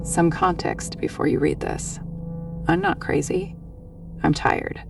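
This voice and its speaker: A woman acting as a weary, defensive woman in a horror story, her voice heavy with vocal fry. Her delivery is fairly flat, with music clearly behind her. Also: low-pitched, takes it slowly, distant mic, full character voice. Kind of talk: scripted monologue in a horror story.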